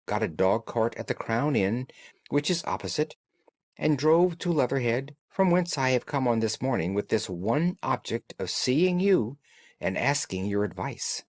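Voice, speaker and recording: A man reading classic fiction as an audiobook narrator, in a measured, dramatic storytelling manner. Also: mid-range voice, big pitch swings, dry recording.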